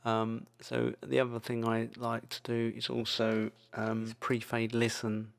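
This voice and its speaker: A man presenting an informal software tutorial, speaking in a hesitant, loosely improvised way. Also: medium pitch, completely flat delivery, unhurried, gravelly. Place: indoors, screen-recording voice-over. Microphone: microphone into audio software.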